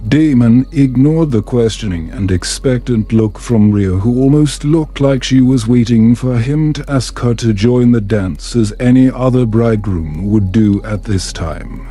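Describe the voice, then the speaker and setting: A man reads a bedtime tale at an unhurried pace, his tone soft-edged and mellow. The delivery is calm, soothing and measured, like scripted storytelling meant for sleep, with music playing underneath. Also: medium pitch. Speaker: storyteller narrator.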